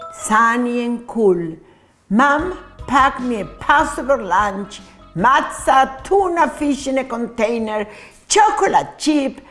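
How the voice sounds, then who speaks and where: A woman reading a children's story aloud in an expressive, deliberate manner. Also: a little high.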